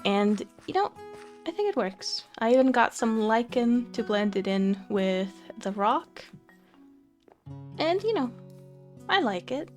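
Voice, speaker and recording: Young woman for relaxed game commentary, slow and chatty, light guitar underneath. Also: a little high. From Finland. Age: young adult. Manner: relaxed, chatty, frequent fillers and soft laughs. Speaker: Minecraft let's play creator. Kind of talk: casual gameplay commentary.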